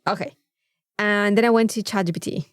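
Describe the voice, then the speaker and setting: A Mexican woman with a native Spanish background, co-hosting a podcast in casual, comedic chat with a playful, sweary, animated storytelling manner. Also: medium pitch, brisk, no room sound, studio quality. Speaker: podcast co-host.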